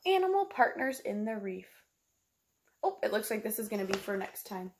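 A woman's voice: mid-range voice, sing-song, moderate pace. A woman, reading a picture book aloud like a teacher, explaining simply to young children and asking them questions. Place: small room at home, indoors.

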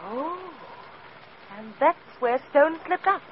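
Inquisitive female sidekick, wry, high and animated, vintage radio play with faint noise. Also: slow pace. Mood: wry, curious. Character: wry, inquisitive lady companion of a sleuth. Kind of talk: 1940s radio acting. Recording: muffled, faintly noisy old radio.

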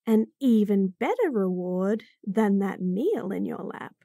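A woman's voice: medium pitch, expressive, measured pace, gravelly, dark tone, dry recording, muffled recording. A woman voicing a bratty, sassy bunny-girl chef in a fantasy roleplay audio, teasing and talking straight to the listener. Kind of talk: F4M roleplay audio, acted monologue.

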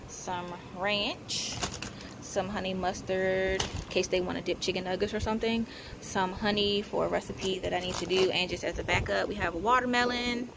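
A woman vlogger narrating a haul casually at home, in a matter-of-fact, halting way as she lists items. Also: medium pitch, background noise. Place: indoors at home, carpeted room.

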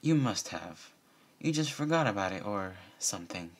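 A man's voice: on the low side, very animated, normal speed. Man as a skeptical, sensible mare. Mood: chiding. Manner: practical, chiding. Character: sensible, skeptical adult female pony roommate.

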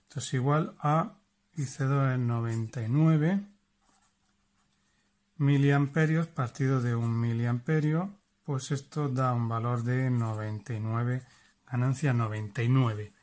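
A man's voice: mid-range voice, fairly even intonation, measured pace, a little mellow. A man teaching an electronics lesson. He explains each step as he works and keeps checking in with his listeners. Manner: explanatory, checks in with frequent "vale?". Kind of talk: hands-on lesson demonstrating and calculating.

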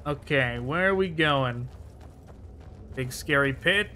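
A man's voice: medium pitch, slow pace, sharp tone, a little hiss or hum. A man giving casual gameplay commentary, offhand and talking to himself as he plays. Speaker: gaming channel host playing the game.

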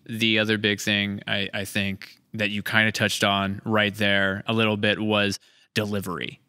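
Bright, clear-voiced male podcast host. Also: fairly low pitch, little pitch movement, moderate pace, no room sound. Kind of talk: casual interview chat. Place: large indoor room with hard floor and open ceiling. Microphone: podcast microphone on a boom arm.

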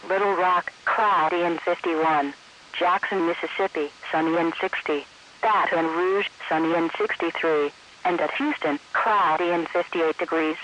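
Robot-ish guy reading weather conditions, high and bright, hissy radio broadcast. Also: steady tone, low-quality recording. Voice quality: synthetic. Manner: measured, clipped, evenly phrased. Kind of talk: automated weather report reading. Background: faint radio hiss.

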